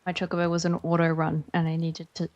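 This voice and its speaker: A woman's voice: deep voice, completely flat delivery, fast talker, muffled recording, a little hiss or hum. A young Australian woman chatting casually while streaming a game, rambling with lots of fillers.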